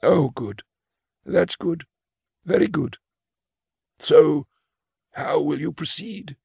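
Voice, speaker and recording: A man's voice: fairly high pitch, slow, mellow, no echo, studio quality, exaggerated voice. An actor playing a frail, elderly male clerk who is anxious and quavering, in an audio drama. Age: older. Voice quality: gravelly, husky.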